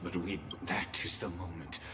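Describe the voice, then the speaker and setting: An actor playing a smug, mocking game villain who taunts with theatrical false courtesy. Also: male voice, deep voice, fairly even intonation, moderate pace, background noise, lightly acted. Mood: gloating, amused. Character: smug, mocking male villain, coolly deferential jailer. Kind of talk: dramatic scripted video game acting. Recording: loud music behind the voice. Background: game soundtrack music.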